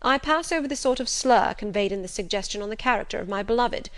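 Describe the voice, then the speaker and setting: A woman voicing an imperious, teasing middle-aged gentleman in an audiobook, with formal, pompous and emphatic delivery. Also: mid-range voice, fast, lightly acted. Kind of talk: audiobook character dialogue.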